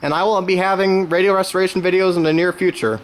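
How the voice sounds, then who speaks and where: A man with a slightly high voice presents like a hobby collector showing off a find. He talks informally and rambles with folksy enthusiasm. The home recording has some faint noise behind him, with cricket-like chirping. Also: little pitch movement, fast talker, poor audio. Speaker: vintage radio collector presenting his own channel. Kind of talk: casual unscripted show-and-tell vlog.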